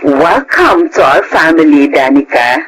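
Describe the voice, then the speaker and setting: A doting mother, talks fondly with big melodic swings in pitch. It is welcoming, affectionate radio drama acting over clear background noise. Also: sing-song, unhurried, fairly bright tone, full character voice, low-quality recording.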